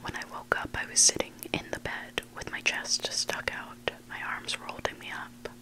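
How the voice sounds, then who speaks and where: A person reading stories aloud in a breathy, hushed ASMR whisper, close to the mic. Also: normal speed, low-quality recording. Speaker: host reading listener-submitted stories. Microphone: close mic, made for headphones.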